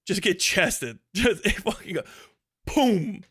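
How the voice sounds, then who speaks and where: A man chatting casually on a livestream in an animated, enthusiastic, rambling way, swearing freely. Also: sits high, slow pace, breathy edge. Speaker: live streamer.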